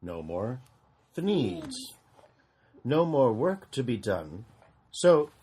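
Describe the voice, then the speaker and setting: A man's voice: mid-range voice, big pitch swings, extremely slow, a little mellow, slight background noise. A man reading a rhyming children's story aloud in a dramatic way, drawing out and repeating phrases. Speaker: storybook reader.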